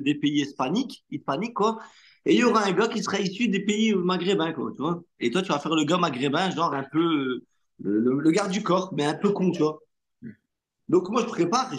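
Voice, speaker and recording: A man, an actor whose first language is Arabic, telling his story in a relaxed interview. He talks in a loose, slangy way with lots of fillers and quick asides. His voice sits fairly high for a man. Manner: animated anecdote-telling with street slang and many fillers.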